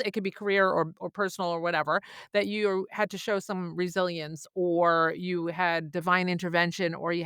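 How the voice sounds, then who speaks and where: A woman's voice: lowish voice, fairly bright tone, no echo, clean studio sound. A woman hosting a casual podcast interview in an encouraging, chatty way. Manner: encouraging, frequent affirming interjections. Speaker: podcast host.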